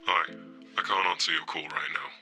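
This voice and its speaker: An actor playing a man's recorded voicemail greeting in an audio drama, plain and low-key. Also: steady tone, fairly quick, over loud music. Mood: flat.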